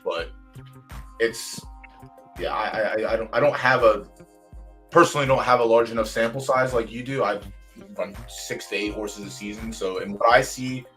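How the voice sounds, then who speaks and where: A man's voice: fairly low pitch, fairly bright tone. A man hosting a casual podcast chat, talking bluntly and venting with plenty of swearing. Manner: blunt, profane, fired-up venting. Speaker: host. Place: home streaming setup.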